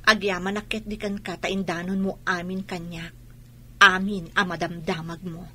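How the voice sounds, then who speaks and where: A resolute, wronged mother in a radio drama. Her mid-pitched voice has a sharp edge and a rough husk. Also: flat delivery, moderate pace. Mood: hurt, resolute. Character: wronged, protective wife and mother, adult, resolute. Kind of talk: Ilocano radio drama acting.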